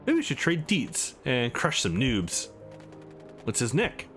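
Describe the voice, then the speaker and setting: A man's voice: mid-range voice, huge pitch swings, slow pace, fairly bright tone, faint music behind, heavily acted. A man voicing a laid-back, crude gamer brother from a visual novel, slangy and joking. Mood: cheeky.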